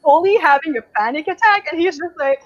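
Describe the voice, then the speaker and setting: A high-pitched woman chatting casually as a book club co-host. She is animated and opinionated, a bit exasperated and full of hedges. The audio is slightly dull and lo-fi, like an online call. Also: fairly quick.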